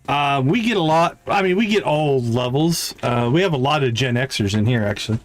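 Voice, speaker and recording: Lively-pitched man musing through game commentary. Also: soft background music. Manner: deliberate, musing, reading item stats aloud. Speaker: game livestream host. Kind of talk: casual gameplay commentary, thinking aloud.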